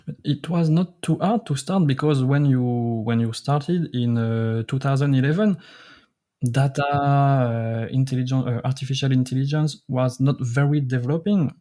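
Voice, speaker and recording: A man, a native French speaker, hosting a podcast and asking questions in careful, slightly halting English. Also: mid-range voice, steady tone, warm tone. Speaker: podcast host. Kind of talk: interview questions.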